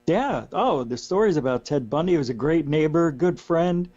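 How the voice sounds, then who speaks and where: A hoarse middle-aged man talking as a guest in casual radio chat, rambling with restarts as he loses his voice. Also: a little high, expressive, normal speed, a little mellow. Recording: dull lo-fi line with mains hum.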